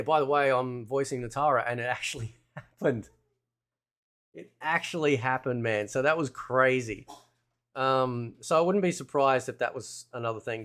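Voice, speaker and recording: A man with a mid-pitched voice delivers casual gaming commentary as a channel host. He talks in a loose, rambling way, working out his thoughts aloud. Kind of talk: casual game trailer commentary.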